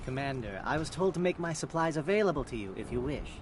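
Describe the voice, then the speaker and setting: A man voicing a helpful merchant in a video game, his voice high and lively. He speaks like a salesman. Game ambience is clearly heard behind him. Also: fast, background noise, lightly acted. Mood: obliging. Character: male merchant, obliging and polite.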